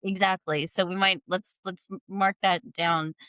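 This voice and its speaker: A woman's voice: lowish voice, steady tone, a little slow, sharp tone, clear, resonant tone, dry recording. A woman co-hosting a podcast interview, enthusiastic and affirming. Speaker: podcast co-host.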